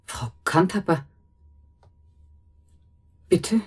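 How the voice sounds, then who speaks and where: A woman's voice: on the low side, expressive, drawn-out words, a little mellow, husky, exaggerated voice. An actress playing an anxious, chatty housekeeper in a radio drama, rambling emotionally and protective of her brother. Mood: surprised. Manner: rambling, wordy, emotional, self-correcting.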